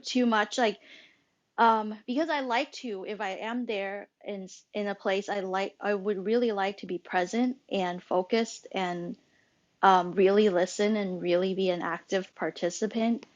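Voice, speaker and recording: A woman moderates a casual online audio room at a slow pace. She thinks aloud in a halting, rambling flow. The sound is slightly dull and lo-fi, like an internet voice chat. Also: medium pitch, steady tone. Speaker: host and moderator of a live social audio room. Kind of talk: casual unscripted group chat. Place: live social audio app call.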